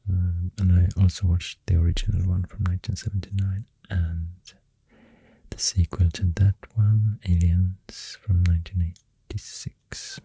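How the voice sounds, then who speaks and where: Very soft, breathy Swedish-accented ASMR whisperer. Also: low-pitched, level tone, no echo, low-quality recording. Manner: hushed, unhurried, hesitant pauses. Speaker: ASMR video host. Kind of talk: soft-spoken ASMR, rambling. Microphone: close microphone.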